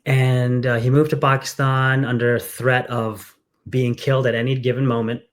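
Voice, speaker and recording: A man's voice: medium pitch, little pitch movement, moderate pace. A man who grew up in England, speaking as a candidate in a conversational interview, earnest and storytelling with frequent fillers. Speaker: congressional candidate.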